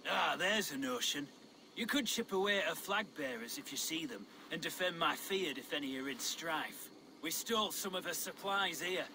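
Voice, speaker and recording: Casting: plain-spoken Saxon rebel leader in a video game, higher male register, grim resolve. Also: lively intonation, normal speed, noisy background. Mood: scheming. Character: blunt, earthy Saxon rebel leader, grimly determined.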